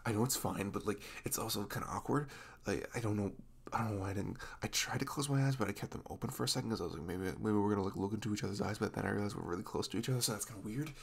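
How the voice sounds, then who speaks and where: A man playing a sweet, goofy, nervous young boyfriend in an intimate ASMR roleplay, halting, flustered and stammering as he talks. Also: fairly low pitch, gravelly, breathy edge. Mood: embarrassed. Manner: halting, flustered, stammering, apologetic, often giggly.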